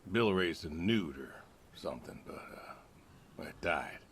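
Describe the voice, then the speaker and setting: An actor playing a gentle, plainspoken older farmer in a video game, with a laconic, dry manner. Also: male voice, deep voice, expressive, measured pace, a little hiss or hum. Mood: dry, matter-of-fact. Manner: laconic, dry, folksy, understated.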